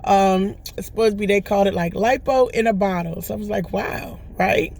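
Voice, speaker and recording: A woman with a hoarse voice that is still recovering, talking casually and at length to her vlog viewers and often asking "right?". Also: medium pitch, a little fast, darkish tone, a little hiss or hum. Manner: rambling, chatty, often checks in with "right?". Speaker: vlogger. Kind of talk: casual testimonial chat.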